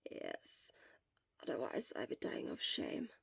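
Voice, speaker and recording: A woman voicing a sick, weary teenage boy in a weak, breathy whisper, halting and embarrassed, for an audio story. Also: natural voice. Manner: halting, faltering.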